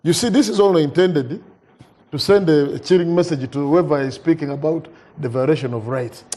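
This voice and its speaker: A man, a member of parliament in a TV panel debate, speaking haltingly with repeated words and asides. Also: fairly high pitch, warm tone. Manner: halting, repeats words, asks "you understand?".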